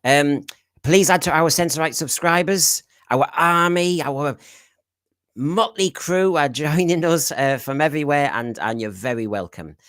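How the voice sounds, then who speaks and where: A man's voice: a little high, a little slow, fairly bright tone, dry recording. Male podcast host, chatty, jokey, rambling, dry close sound. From Britain. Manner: chatty, jokey, self-deprecating, rambling asides. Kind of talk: casual live stream chat.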